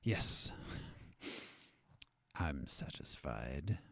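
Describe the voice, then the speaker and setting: A man playing a weary, calculating captive doctor in an audio drama, speaking in a measured, formal way. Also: very deep voice, very animated, vocal fry, dry recording, clean studio sound, heavily acted. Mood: dry, composed.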